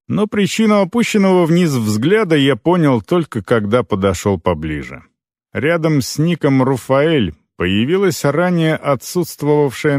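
Lively male audiobook narrator, dry close sound. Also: mid-range voice, dry recording. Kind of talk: scripted first-person fiction narration.

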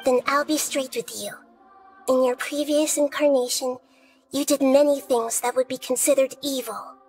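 Woman as a soft, high-voiced gentle child goddess. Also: steady tone, soft background music, natural voice. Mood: solemn. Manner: measured, philosophical, deliberate. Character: small gentle child goddess of wisdom, calm and thoughtful. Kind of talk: video game voice acting.

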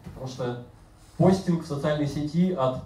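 A man in his thirties doing a self-mocking, deadpan stand-up routine on a bar stage. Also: a little high, normal speed, far from the mic, a little hiss or hum. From Siberia. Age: 30s. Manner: deadpan, self-mocking, acts out other voices. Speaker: stand-up comedian. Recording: roomy live bar recording from afar.

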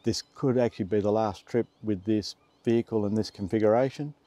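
Mellow, low-voiced Australian man. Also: fairly even intonation, brisk, no echo. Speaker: off-road camping vlogger. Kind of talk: casual explanatory vlog. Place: inside a parked truck cab in the bush.

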